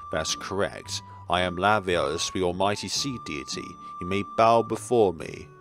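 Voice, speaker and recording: A man voicing a haughty, commanding cat who is an almighty sea god, with a deep voice, for a visual novel. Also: a little slow, over music. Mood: pompous. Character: pompous talking cat, an almighty male sea deity.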